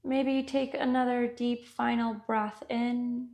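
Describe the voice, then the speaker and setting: A woman guiding a body scan meditation with gentle, unhurried instructions and long pauses. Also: a little high, level tone. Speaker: meditation guide.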